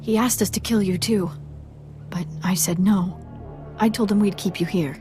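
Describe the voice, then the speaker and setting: A woman plays a protective alien matriarch, composed and firm. Her voice has a medium pitch and sits over loud game music. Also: fairly even intonation, normal speed, lightly acted. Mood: firm, pleading. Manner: soothing, firm. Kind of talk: video game cutscene acting.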